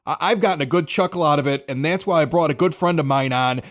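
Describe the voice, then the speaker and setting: A man's voice: on the high side, fast talker. An American man hosting a podcast, talking sports in a casual, chatty, conversational way. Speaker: podcast host, former morning radio host.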